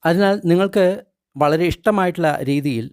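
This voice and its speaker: Higher-voiced man guiding a meditation. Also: darkish tone, smooth, no room sound, studio quality. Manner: measured, instructional, short phrases with pauses. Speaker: meditation guide. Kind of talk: guided meditation, read from a translated script.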